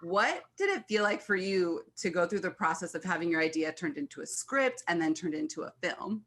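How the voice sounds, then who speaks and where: A woman's voice: lowish voice, very animated, a little fast, fairly bright tone. An American woman hosting an online event as a filmmaking mentor, talking to guests and introducing them. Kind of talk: event hosting on a video call.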